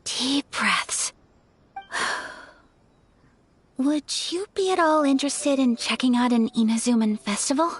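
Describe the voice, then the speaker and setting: A woman playing a gentle, refined young noblewoman in a video game, speaking politely and earnestly in a formal, measured way. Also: sits high, husky, no echo, full character voice. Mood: nervous, shy. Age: young adult. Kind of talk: video game character acting.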